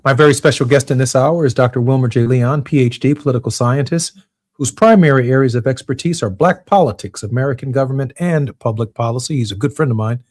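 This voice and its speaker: Radio host, male, measured, crisp dry studio voice. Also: mid-range voice, darkish tone, dry recording. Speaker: radio talk show host. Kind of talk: radio show segment outro.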